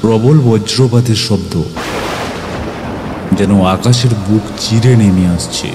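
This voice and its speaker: Male actor as caring village doctor, first-person horror narrator: lowish voice, expressive, earnest, music loud behind. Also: measured pace, close to an everyday voice. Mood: suspenseful narration. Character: young village doctor Aniket, earnest, caring, telling his ghost tale.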